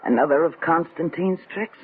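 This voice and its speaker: A woman's voice: low voice, normal speed, slightly rough. An actress playing a mysterious, fatalistic woman from a stage illusion act, secretly scheming, in dramatic 1940s radio thriller style. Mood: guarded. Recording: tinny, band-limited old radio recording.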